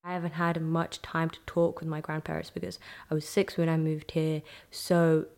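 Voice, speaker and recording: A woman's voice: low-pitched, flat delivery, moderate pace, gravelly. A young woman from Ethiopia, the show's host, recalling memories in a casual, chatty way full of "like" fillers. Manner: chatty, frequent "like" fillers, warm recollection. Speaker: podcast host being interviewed. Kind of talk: casual conversational storytelling.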